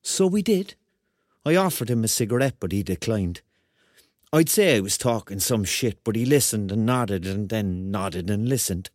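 An actor playing a rambling, excitable Cork man in his thirties, telling his story in a colloquial radio drama style. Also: fairly low pitch, moderate pace, dry recording, clean studio sound. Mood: wry storytelling. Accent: Cork Irish. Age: 30s. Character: rambling, excitable thirty-year-old Corkman on a surreal night out. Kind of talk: radio drama acting, first-person narration and dialogue.

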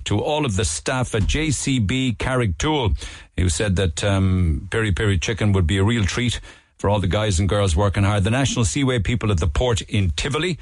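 A man with a Cork Irish accent hosting a radio show, reading listener shout-outs and teasing callers. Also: on the low side, animated, no echo, clean studio sound. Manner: brisk, jokey, teasing. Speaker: radio host. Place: radio studio.

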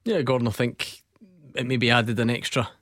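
A man's voice: medium pitch, steady tone, normal speed, a bit bright, no echo, clean studio sound. A Scottish man hosting a football phone-in radio show, teasing his co-hosts and laughing often. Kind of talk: casual studio banter.

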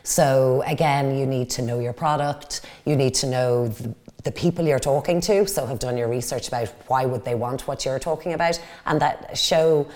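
A woman's voice: rumbling low, normal speed, darkish tone. A woman from Dublin with an Irish accent, answering interview questions conversationally as a business guest. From Dublin, Ireland. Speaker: interview guest, company executive.

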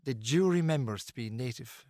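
Irish guy mid-aged, musician in an interview, emphatic, lots of restarts, ordinary pitch, dry sound. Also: animated, moderate pace, mellow, dry recording. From Ireland. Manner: earnest and emphatic, with frequent restarts and fillers.

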